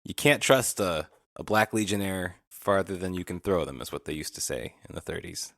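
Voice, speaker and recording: Guy with a lowish voice chatting on a history podcast, close dry sound, loose and sweary. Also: moderate pace, gravelly, a bit bright, no echo. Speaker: podcast co-host. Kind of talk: casual history discussion.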